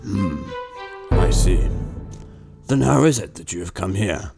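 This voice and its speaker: A man playing a stern old hermit in a radio drama, speaking bluntly in a low, creaky voice. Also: expressive, measured pace, music in the background, heavily acted. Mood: questioning, stern. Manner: blunt, scolding.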